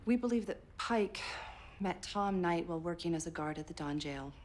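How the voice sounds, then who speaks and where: Cool, low-voiced woman private detective played by an actress. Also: animated, normal speed, slight background noise, natural voice. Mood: cool, guarded. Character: cool, wry female private detective in 1920s. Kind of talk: television period drama acting.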